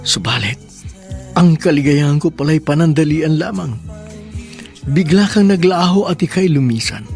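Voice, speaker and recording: A man's voice: on the high side, animated, normal speed, pauses often, darkish tone, faint music behind. Sentimental male radio drama narrator. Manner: earnest, sentimental storytelling.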